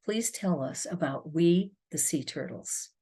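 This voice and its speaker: A woman with a low voice hosting an author panel. She asks questions and reads out guest introductions in a measured, friendly manner. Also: animated, warm tone, husky. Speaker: panel moderator.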